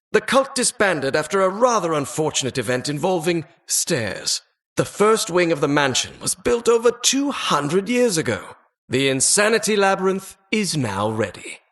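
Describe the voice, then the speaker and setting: A man's voice: high voice, fairly bright tone, heavily acted. A man voicing a talking dungeon as a pompous, mock-polite, sardonic butler-like host in a video game. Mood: smug. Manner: pompous, mock-polite, arch formal phrasing. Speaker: game announcer. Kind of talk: theatrical video game voice-over.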